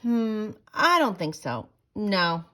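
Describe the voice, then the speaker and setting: A woman's voice: medium pitch, big pitch swings, slow pace, pushed and bright, full character voice. Woman reading as a curt, reluctant landlord. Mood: dismissive. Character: dismissive, reluctant male landlord.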